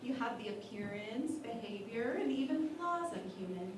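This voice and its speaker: Very echoey, with clear noise behind, a woman acts a psychic starship officer in a sci-fi parody. She is earnest and wry, explaining things matter-of-factly in a high voice. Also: animated, moderate pace, lightly acted. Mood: relaxed, wry. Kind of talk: parody sci-fi radio play acting. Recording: very echoey, far from mic, noisy.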